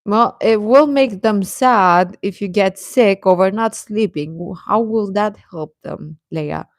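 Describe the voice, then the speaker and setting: A woman's voice: mid-range voice, slow pace, dry recording, studio quality. A woman hosting a livestream, chatting casually and advising viewers in a conversational way, often hesitating and correcting herself. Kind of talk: casual chat, giving advice to viewers.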